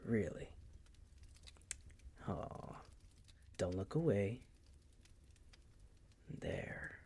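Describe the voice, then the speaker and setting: A man playing a possessive, condescending vampire master in an intimate roleplay audio, teasing in a breathy, gravelly, often whispered voice. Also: on the low side, slight background noise, exaggerated voice. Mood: teasing, dominant. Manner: slow, teasing, condescending purr.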